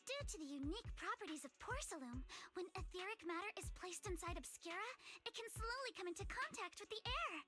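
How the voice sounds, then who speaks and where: Very high, prim young heiress. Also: female voice, bright, forceful tone, heavily acted. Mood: informative. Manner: formal, precise, prim. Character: proud, proper young heiress scholar, earnest and strict. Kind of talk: English video game voice acting.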